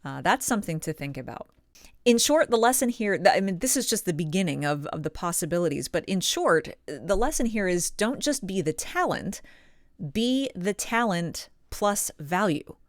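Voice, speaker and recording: A woman's voice: fairly low pitch, animated, moderate pace, no echo. A woman giving instructional advice as a channel host and coach, speaking in an emphatic, encouraging manner into a close microphone. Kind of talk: instructional advice talk to viewers.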